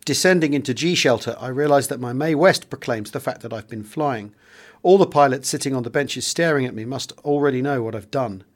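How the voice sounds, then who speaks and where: A man's voice: mid-range voice, a little fast. A man with a British accent reading a first-person memoir aloud as a dramatic audiobook narrator.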